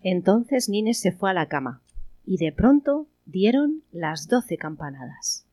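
A woman's voice: mid-range voice, sing-song, slow pace, warm tone, a little hiss or hum. A woman reading scripted narration for a radio play.

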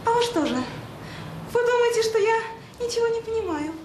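A callous, defiant woman who works with children. Her voice sits extremely high, and she argues with sarcasm and scorn. It is a roomy radio-drama recording with a little noise. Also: animated, normal speed. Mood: indignant, sarcastic. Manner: argumentative, sarcastic. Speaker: actor in an audio drama. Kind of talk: Soviet radio drama acting.

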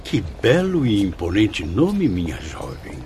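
Earnest, expressive, low-voiced spirit gentleman. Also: measured pace, vocal fry, slight background noise, heavily acted. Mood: courteous curiosity. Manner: formal, courtly, expressive wording. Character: Camilo, earnest courteous male spirit recalling the afterlife. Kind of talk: radio drama acting and narration.

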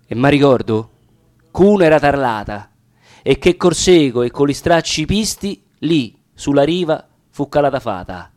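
Male actor, Roman accent, higher-pitched voice, dramatic verse recitation, deliberate pauses. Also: sing-song, darkish tone. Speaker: actor reading poetry. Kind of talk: dramatic recitation of dialect narrative sonnets.

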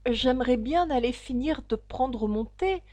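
A woman voicing a poor, timid, frightened male hatter who pleads nervously in a halting, trembling voice. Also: high voice, expressive, darkish tone, dry recording, pitched above the performer's own voice. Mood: meek. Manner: halting, stammering.

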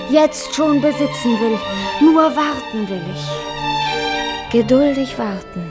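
A slow, lovesick young invalid woman. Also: fairly high pitch. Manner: slow, yearning, imploring declamation. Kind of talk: letter read as dramatic monologue in radio drama. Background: violin music behind.

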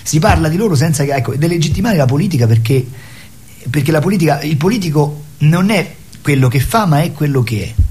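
A man arguing his opinions in an impassioned, polemical conversation, with halting, repetitive phrasing. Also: a little high, expressive, a little mellow.

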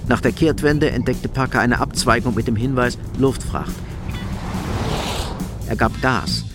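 A man's voice: medium pitch, unhurried. A man reading scripted narration for a crime radio drama. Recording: prominent music behind the narration.

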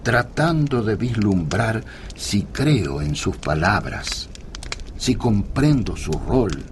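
Slow, low-voiced pensive male narrator. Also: expressive. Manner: measured, pensive. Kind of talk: reflective scripted radio drama narration. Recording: clear background ambience noise.

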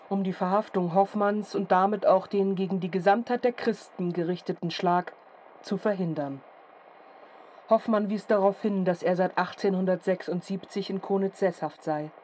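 An actress playing a formal, detached reporter reading a matter-of-fact news report in a radio drama. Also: female voice, medium pitch, mellow, a little hiss or hum, lightly acted. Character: detached female newsreader reporting period town unrest. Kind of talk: scripted narration, news report.

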